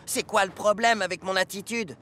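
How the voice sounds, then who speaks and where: A man's voice: sits high, steady tone, moderate pace, sharp tone, a little hiss or hum. A man playing a vain, sulky steam locomotive in a French cartoon dub, grumbling and whining indignantly. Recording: music behind the voice.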